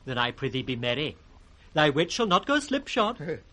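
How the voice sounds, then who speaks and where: An actor playing an impish, riddling British court jester in a 1960s radio Shakespeare drama. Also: male voice, on the high side, sing-song, normal speed, pushed and bright, heavily acted. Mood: teasing. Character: impish, riddling court jester, male, teasing his master.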